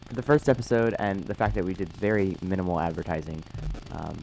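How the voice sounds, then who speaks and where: A young man co-hosting a casual podcast, talking haltingly with many fillers, his voice tired after a concert. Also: low-pitched, vocal fry, a little mellow, slight background noise, low-quality recording. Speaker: podcast co-host. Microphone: headphones on, remote call.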